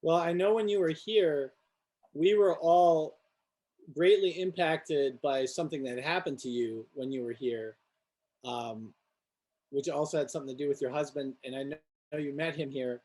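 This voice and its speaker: A man's voice: fairly high pitch, fairly even intonation, normal speed, a little mellow, rough recording. A middle-aged man hosting an alumni interview on a video call, friendly and hesitant with many fillers. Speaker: host, architecture professor. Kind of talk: interview host.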